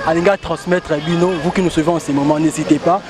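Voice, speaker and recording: A man with a Congolese French accent hosts a talk show outdoors, his voice pitched notably high for a man. He rambles through announcements and greetings in French with bits of Lingala. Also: fairly even intonation, fast. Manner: long rambling announcements with shout-outs. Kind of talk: hosting an interview show. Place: outdoors at a busy central station.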